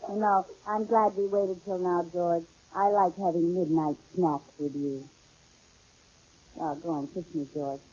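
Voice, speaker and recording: A woman's voice: on the low side, steady tone, a little slow, dark tone, breathy edge. A woman playing a playful, wheedling, scatterbrained housewife in a 1940s radio sitcom, with an exaggerated comic delivery. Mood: cozy, affectionate. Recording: dull old radio recording.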